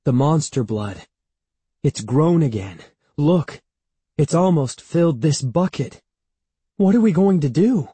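A man's voice: on the low side, slow, a little mellow, slightly rough, no echo. A man voicing an anxious, impatient young boy with a snappy, wailing manner in a children's storybook. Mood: worried. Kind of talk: children's audiobook character voice.

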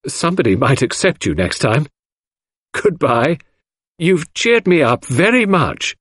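A man voicing a wistful, teasing young orphaned woman in an audiobook reading, lifting his husky voice into a lilting girlish tone. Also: on the high side, very animated, a little slow, a bit bright, no room sound, studio quality, voice pushed up, exaggerated voice.

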